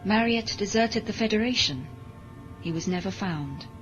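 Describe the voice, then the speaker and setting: A woman's voice: mid-range voice, expressive, normal speed, natural voice. A woman playing an emotionless, modified cyborg servant in a science fiction audio drama, speaking in a formal, precise, deferential way. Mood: flat, detached. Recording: dull lo-fi audio drama recording, music behind.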